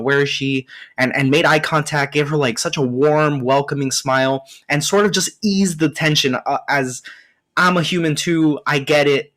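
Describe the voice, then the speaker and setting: A man's voice: mid-range voice, a bit bright. A man hosting a podcast, speaking reflectively with many small restarts. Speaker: podcast host. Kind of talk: casual interview chat.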